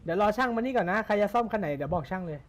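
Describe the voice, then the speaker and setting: High-pitched, fast-talking excitable male gamer. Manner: excitable, repeats short phrases rapidly. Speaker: streamer playing an online role-play game. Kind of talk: casual gaming chat.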